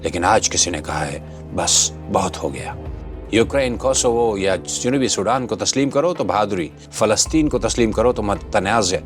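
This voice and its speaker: Low-pitched man delivering dramatic commentary. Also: expressive, moderate pace. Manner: dramatic, rhetorical, sardonic. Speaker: host of a commentary channel. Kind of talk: scripted opinionated political commentary. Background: background music.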